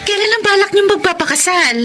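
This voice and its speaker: A woman's voice: very high voice, fast, loud music behind the voice. A woman playing an eager, teasing friend in a radio drama. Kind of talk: Tagalog radio drama acting.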